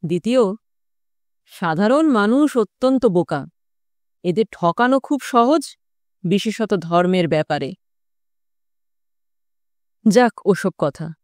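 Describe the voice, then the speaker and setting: A woman's voice: mid-range voice, lively intonation, slow, pauses often, darkish tone, no room sound, clean studio sound. A woman voicing a worldly-wise male tantric occultist recounting his wandering youth in an audiobook. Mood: cynical. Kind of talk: audiobook storytelling.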